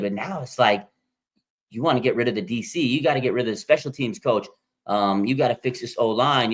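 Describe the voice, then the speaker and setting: An American man of about forty from Las Vegas, hosting a casual live stream and reading out fan comments in an excitable way. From Las Vegas, Nevada, USA. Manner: excitable, with sudden pitch jumps. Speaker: livestream host.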